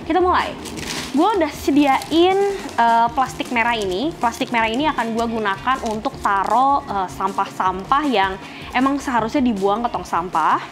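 A woman's voice: a little high, normal speed. A woman in her twenties with a Jakarta accent, presenting a casual how-to video to the camera in a chatty, slangy way with frequent "eh" fillers. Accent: Jakarta Indonesian. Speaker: car channel presenter. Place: outdoors by a car in a residential driveway. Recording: music playing behind the voice.

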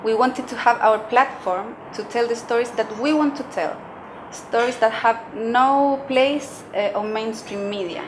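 A woman's voice: a little high, a little slow, noisy background. A woman in her thirties, a native Spanish speaker with a Chilean accent, explaining her work in an interview. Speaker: journalist and podcast creator. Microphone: lapel mic. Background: music.

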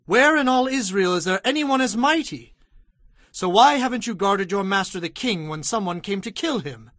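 A bold young warrior speaks in a high, earnest voice, declaiming with devout loyalty. It is a dramatized Bible production, recorded close and dry. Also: male voice, expressive, normal speed, a bit bright, dry recording. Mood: taunting. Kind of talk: dramatized audio Bible acting.

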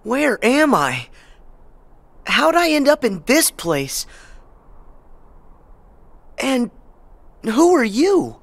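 An actor voicing an innocent, bewildered young boy hero in an animated game cutscene. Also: high-pitched, drawn-out words, gravelly, pauses often, full character voice.

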